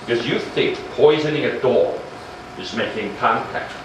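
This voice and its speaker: A man's voice: mid-range voice, normal speed, close to an everyday voice. An actor playing a sarcastic, menacing married middle-class man in a stage play, needling in a theatrical manner. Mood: intense, accusing. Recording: echoey, distant, noisy live stage recording.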